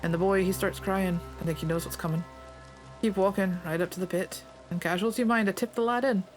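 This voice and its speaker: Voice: mid-range voice, fairly even intonation, brisk, faint music behind, lightly acted, poor audio. A player reading aloud the lines of a plainspoken Scottish stable master in a game, in a folksy, understated way. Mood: uneasy.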